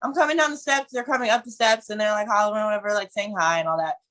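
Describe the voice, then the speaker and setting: A woman's voice: medium pitch, fast, a bit bright. A woman in her twenties from Ohio, animatedly telling a story as a guest in a casual conversation.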